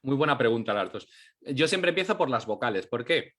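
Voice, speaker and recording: A man's voice: medium pitch, expressive, a little fast, fairly bright tone. A man, a guest teacher answering audience questions in a patient, explanatory way, often restarting and checking that listeners follow.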